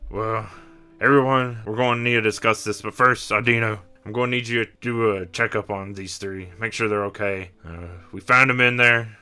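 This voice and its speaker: An earnest, weary team leader creature from a tabletop adventure, played by a man. The voice is bright and clear. He hands out instructions with hesitant pauses but a serious purpose. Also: fairly low pitch, steady tone. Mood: serious concern.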